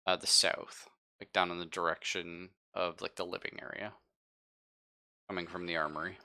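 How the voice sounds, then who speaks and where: A man running a tabletop roleplaying game, improvising narration in a halting, casual way and pausing to think mid-sentence. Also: deep voice, flat delivery, bright, forceful tone. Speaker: game master.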